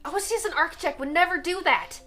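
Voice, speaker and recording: High-voiced, defiant young alien girl, acted by a woman. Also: expressive, a little fast, sharp tone, faint music behind, exaggerated voice. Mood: indignant. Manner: casual, sarcastic wording. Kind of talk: fan-dub voice acting of comic dialogue.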